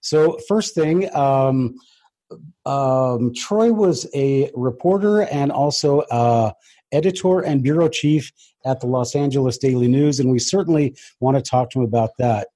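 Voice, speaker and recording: Mellow, husky male podcast host. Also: mid-range voice, unhurried, no room sound. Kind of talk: conversational podcast hosting.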